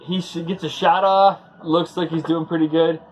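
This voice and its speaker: A man's voice: on the high side, flat delivery, moderate pace, darkish tone, faint music behind, poor audio. A man hosting a comic book read-along, giving casual commentary in a rambling, conversational, fan-like way.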